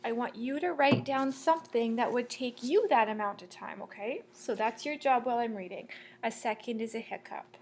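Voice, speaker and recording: A woman reading a children's picture book aloud like a teacher, in a measured, sing-song storytelling way. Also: on the high side, a little hiss or hum. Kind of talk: children's read-aloud storytelling with asides to listeners.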